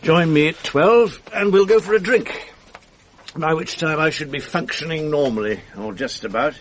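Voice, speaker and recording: An English actor playing a jaded, dissipated middle-aged script editor and exasperated husband in a radio drama, world-weary, sardonic and blunt, with a gravelly, husky voice. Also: male voice, a little high, normal speed, slight background noise, lightly acted. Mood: wry, hungover. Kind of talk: 1980s BBC radio drama acting.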